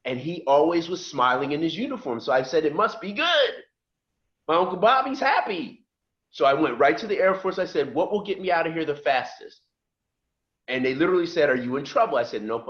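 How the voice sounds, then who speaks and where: A middle-aged man from just outside Philadelphia tells his story in a relaxed, conversational way, slipping into the voices of the people he quotes. His voice sits a little high for a man. The audio is dull and lo-fi. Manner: lively storyteller who acts out quoted voices. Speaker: podcast guest. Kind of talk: casual storytelling.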